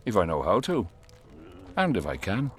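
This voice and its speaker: Casting: loyal kingdom soldier, fantasy game, plain-spoken and careful, animated pitch. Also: male voice, soft background music. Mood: wary, affable. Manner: measured, folksy, guarded. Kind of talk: video game dialogue acting.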